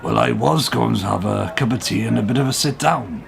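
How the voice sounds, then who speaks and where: A man's voice: medium pitch, fairly quick, creaky, slight background noise. A man playing a polite, subdued witness in a radio play, speaking hesitantly.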